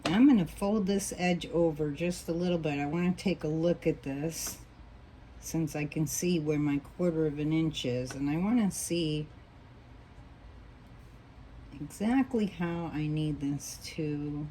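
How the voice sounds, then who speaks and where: A woman teaching a quilting block as she sews, patient and thinking aloud, a little hoarse as she loses her voice. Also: low voice, a little slow, dark tone, slight background noise, poor audio. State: losing her voice, coughing. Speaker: quilting instructor. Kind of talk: relaxed hands-on sewing tutorial.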